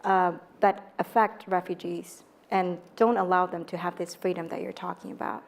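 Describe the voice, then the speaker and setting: A woman's voice: lowish voice, fairly even intonation, moderate pace, creaky. A woman moderating a conference panel, asking probing, clarifying questions. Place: conference stage.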